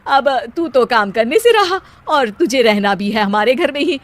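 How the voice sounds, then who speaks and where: A woman voicing a weary middle-aged father in a cartoon, measured and dryly resigned. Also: high-pitched, animated, no echo.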